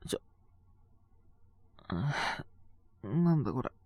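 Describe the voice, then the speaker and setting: A man's voice: mid-range voice, sing-song, slow, husky, no echo, muffled recording, exaggerated voice. A man acting a groggy, sick young boyfriend, close-up and dry. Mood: groggy, bewildered. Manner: casual junior speech, grumbling and murmured. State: coughing, acting sick with a cold. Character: sick, sleepy young man, exasperated yet fond boyfriend. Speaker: voice actor. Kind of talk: Japanese situation voice drama aimed at listeners.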